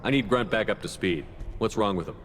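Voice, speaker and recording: A man playing a steady human military commander in a video game, direct and matter-of-fact. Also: on the low side, brisk, lightly acted. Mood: businesslike. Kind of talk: video game character acting. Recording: long reverb, faint ambient noise.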